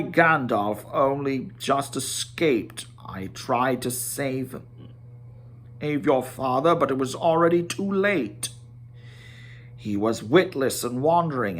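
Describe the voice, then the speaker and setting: A man voicing a grave old wizard in a storybook reading, stern, proud, slow and grim in manner. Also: mid-range voice, very animated, a bit bright.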